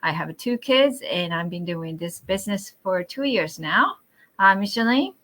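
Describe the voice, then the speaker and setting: A woman's voice: medium pitch, lively intonation, moderate pace, a bit bright. A woman with a Japanese accent, originally from Japan, hosting a casual group discussion. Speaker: host of a group chat.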